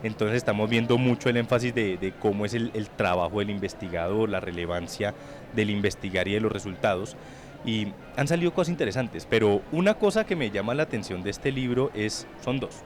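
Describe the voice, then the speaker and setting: A man's voice: lowish voice, fairly quick, a crowd in the background. A man hosting an interview about a book launch, chatty and digressive, asking long conversational questions.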